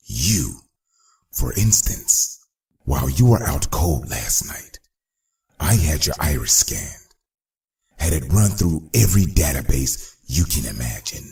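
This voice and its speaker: An actor playing a suave, menacing crime boss with a measured, formal, theatrical manner in a motion comic. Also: male voice, a bass voice, sing-song, gravelly, darkish tone, exaggerated voice. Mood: smug probing. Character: suave, menacing male crime boss villain, probing and polite.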